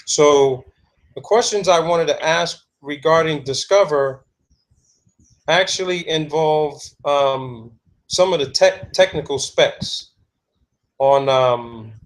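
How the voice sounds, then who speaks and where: Male caller, fairly high voice, eager, repeats words. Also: takes it slowly. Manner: stammering, eager questions. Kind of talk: phone conversation.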